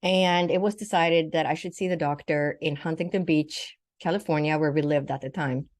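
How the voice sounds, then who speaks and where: A woman's voice: sits low, fairly even intonation, moderate pace, darkish tone, no room sound. An older woman from Sweden tells a personal story in vivid detail, as a guest in an interview. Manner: vivid, detailed telling with acted-out quotes. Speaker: guest, naturopathic doctor and spiritual teacher.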